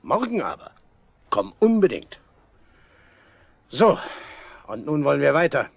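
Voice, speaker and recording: An actor playing a caring, jovial village official in a 1970s German radio play, reassuring and chatty in an avuncular way. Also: male voice, fairly high pitch, big pitch swings, unhurried, creaky, breathy edge, no echo, exaggerated voice. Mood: caring, brisk.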